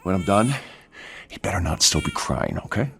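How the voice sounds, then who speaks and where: Gruff, domineering husband. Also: male voice, sits low, sing-song, moderate pace, noisy background. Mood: bullying, angry. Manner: gruff, bullying, impatient. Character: domineering, foul-mouthed abusive husband and new father. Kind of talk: audio fiction acting.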